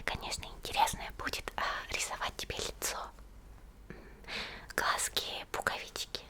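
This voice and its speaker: A woman voicing a gentle young monster schoolgirl in a soft, breathy, slow ASMR roleplay whisper, close to the microphone. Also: close to an everyday voice. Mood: gentle, focused. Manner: slow, soothing, hesitant musing with short pauses.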